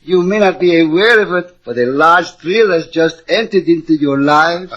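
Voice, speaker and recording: A male actor playing a flowery, eager Havana street hustler with a Spanish accent in an old radio drama, wheedling and flattering. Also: on the high side, moderate pace. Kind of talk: 1950s radio comedy-drama acting. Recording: dull old radio recording.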